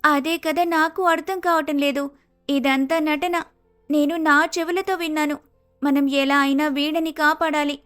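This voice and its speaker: Woman acting a worried young wife in a cartoon moral story, plaintive n earnest, high voice, music under it. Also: fairly even intonation, measured pace, fairly bright tone. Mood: worried, insistent. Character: distressed, self-blaming young wife and daughter-in-law.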